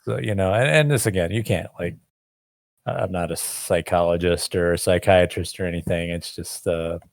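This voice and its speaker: A man's voice: low voice, normal speed, vocal fry, no room sound. A man co-hosting a casual podcast, talking in a rambling, reflective way with many fillers. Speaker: podcast co-host, martial arts teacher.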